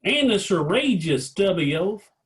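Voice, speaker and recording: Man playing a high-pitched, touchy cowboy balladeer. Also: very animated, measured pace. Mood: indignant. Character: proud, touchy cowboy balladeer in a fantasy western. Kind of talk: screenplay table read.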